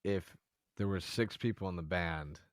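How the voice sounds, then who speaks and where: A man in his early twenties hosting a podcast, asking casual, excitable questions and swearing freely. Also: low voice, normal speed, no room sound, studio quality. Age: 20s. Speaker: podcast host.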